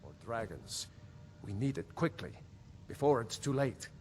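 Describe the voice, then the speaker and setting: An actor playing a stern, commanding city ruler in a fantasy video game, blunt and authoritative. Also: male voice, medium pitch, very animated, a little slow, crowd noise. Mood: urgent. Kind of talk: scripted video game dialogue.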